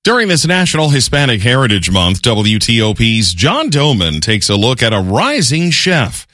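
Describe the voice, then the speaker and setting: Lively male radio news anchor. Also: mid-range voice, dry recording. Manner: measured, lively newsreader delivery. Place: radio studio. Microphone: studio microphone. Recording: dry close studio sound.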